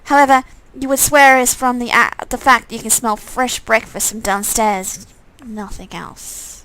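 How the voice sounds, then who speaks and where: A woman's voice: on the high side, fairly even intonation, measured pace, fairly bright tone, poor audio. A woman reading a visual novel game aloud in dramatic narration, breaking off for casual, off-the-cuff comments.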